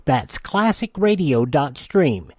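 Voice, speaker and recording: A man's voice: on the high side, very animated, slow, breathy edge, no room sound. Folksy male radio host, dry studio sound. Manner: folksy, conversational. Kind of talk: host-read ad and program introduction. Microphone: close studio microphone.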